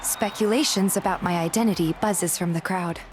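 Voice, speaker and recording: A woman's voice: on the low side, moderate pace, crowd noise. Woman narrating a romantic story over music. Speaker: voice actor narrating and playing the heroine of a fan dub. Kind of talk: scripted first-person story narration with acted dialogue.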